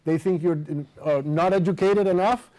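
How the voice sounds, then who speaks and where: Mellow, higher-voiced man moderating a discussion. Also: normal speed, poor audio. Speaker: interviewer leading a student discussion. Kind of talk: moderated group discussion, conversational.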